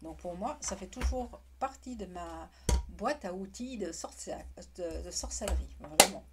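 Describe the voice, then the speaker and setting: A woman teaching a craft in French, with a non-native accent, speaking in a halting way with frequent restarts. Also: lowish voice, expressive, very noisy. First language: not French. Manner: halting, restarts words often. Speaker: witchcraft teacher. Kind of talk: casual explanatory tutorial.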